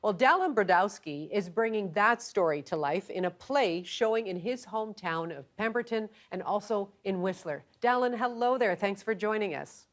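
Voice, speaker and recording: A woman's voice: medium pitch, animated, moderate pace, hardly any pauses. A woman, speaking as a television host conducting an interview. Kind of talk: broadcast interview.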